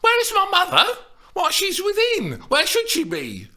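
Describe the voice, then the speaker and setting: A man voicing a young woman acting as Juliet on stage, shrill and eager, by turns wheedling and yelping. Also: sing-song, takes it slowly, creaky, pushed and bright, full character voice. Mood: eager pleading.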